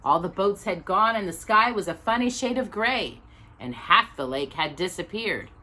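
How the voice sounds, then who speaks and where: Animated woman reading a children's story. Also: mid-range voice, moderate pace. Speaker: storytime host reading aloud. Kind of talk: expressive children's storybook narration.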